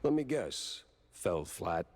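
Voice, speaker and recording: A man voicing a world-weary, sardonic hardboiled mouse detective in a noir cartoon game, with wry, deadpan delivery. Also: low-pitched, slow, creaky, no room sound, exaggerated voice. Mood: dry, impatient. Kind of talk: 1930s noir cartoon game acting and narration.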